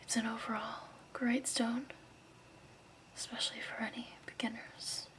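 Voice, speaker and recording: A woman playing a gentle, soothing crystal shop keeper in a hushed, patient ASMR roleplay whisper. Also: fairly high pitch, flat delivery, measured pace. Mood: gentle, explaining.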